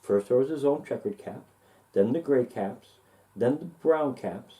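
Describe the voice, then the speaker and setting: A man reading a children's story aloud expressively, with deliberate, sing-song emphasis. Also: mid-range voice, lively intonation, mellow. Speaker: storybook reader.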